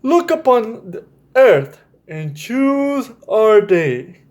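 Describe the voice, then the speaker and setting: Male children's storytime host, higher voice with very lively pitch, speaking straight to kids and checking they follow. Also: drawn-out words. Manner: addresses children directly, often checks with "right?". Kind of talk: explaining to children, read-aloud storytelling.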